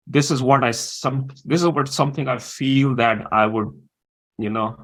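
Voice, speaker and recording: Halting man, gravelly voice. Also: mid-range voice, fairly bright tone, poor audio. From Pakistan. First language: Urdu. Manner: halting, many pauses and fillers. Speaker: guest. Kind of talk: interview conversation.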